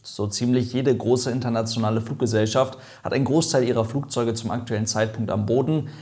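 Guy narrating an aviation explainer video, chatty and a bit jokey, medium pitch. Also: steady tone, a little fast, darkish tone. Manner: chatty, lightly joking.

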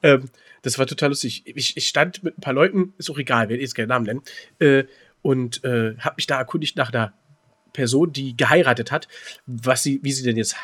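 A man's voice: mid-range voice, brisk, a bit bright, husky. A man with a German accent chatting casually and playfully as a podcast co-host, often interjecting. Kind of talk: casual joking banter.

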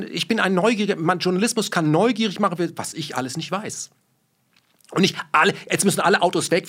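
A man's voice: high voice, fairly bright tone, breathy edge. A man from Germany, talking in a heated conversation with emphatic rhetorical questions and blunt swearing.

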